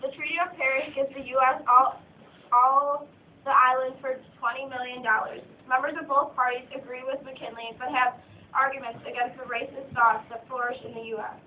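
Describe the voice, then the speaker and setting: A young woman with a high voice reads a script aloud, stumbling and repeating herself. She sounds distant in an echoey room, with a thin phone-like sound. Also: little pitch movement, moderate pace. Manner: stumbles and repeats words while reading. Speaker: narrator of a student puppet show. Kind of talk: scripted narration read aloud. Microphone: phone from across the room. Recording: tinny phone recording, distant, echoey, faint noise.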